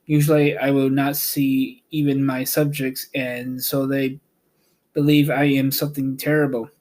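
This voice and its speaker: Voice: mid-range voice, level tone, measured pace, darkish tone, natural voice. A man voicing an apologetic little humbug wizard who explains himself in a storybook reading. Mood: anxious confession.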